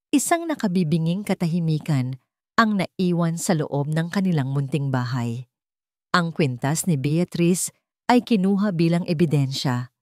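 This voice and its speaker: A woman with a Filipino accent narrates a scripted story in a measured, dramatic way, in short phrases. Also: low voice, a little mellow, no echo, studio quality.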